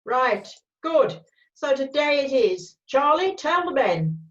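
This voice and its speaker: A woman reading the part of a resolute, formal male cavalry officer in an amateur screenplay read-through, with measured military phrasing. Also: high-pitched, sing-song, lo-fi sound, full character voice. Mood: brisk, confident.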